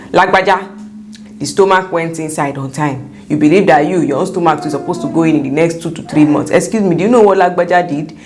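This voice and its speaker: A young woman with a Nigerian accent and a slightly croaky voice, giving chatty, emphatic advice in a vlog. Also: low-pitched, a little mellow, slight background noise. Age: young adult. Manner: emphatic, repeats words for stress. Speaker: vlogger sharing first-time mom advice.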